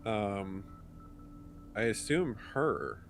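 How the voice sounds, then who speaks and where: A man's voice: on the low side, big pitch swings, drawn-out words, soft background music. A man chatting casually and a little tentatively as a player in a tabletop roleplaying game. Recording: noisy background ambience.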